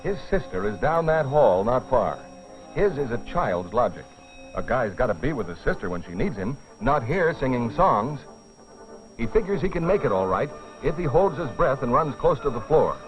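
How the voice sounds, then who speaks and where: A man's voice: medium pitch, sing-song, moderate pace. A man giving grave, urgent scripted narration in the dramatic style of an educational training film. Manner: grave, urgent storytelling delivery. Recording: dull, lo-fi old educational film soundtrack. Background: dramatic background music.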